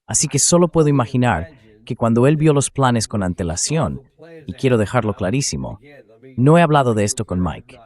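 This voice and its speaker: A man's voice: medium pitch, sing-song, moderate pace, darkish tone. A man's synthetic dubbing voice delivering spoken political commentary as the host of a show.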